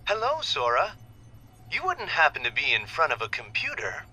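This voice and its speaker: A man playing a polite, studious young scientist in a video game, articulate and measured. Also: mid-range voice, huge pitch swings, sharp tone, slight background noise, full character voice.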